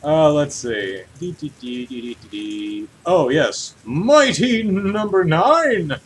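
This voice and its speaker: A man's voice: a little high, lively intonation, takes it slowly. A man co-hosting a podcast, chatting casually about the news and thinking aloud in an informal way. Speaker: podcast co-host. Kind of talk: casual discussion of video game news.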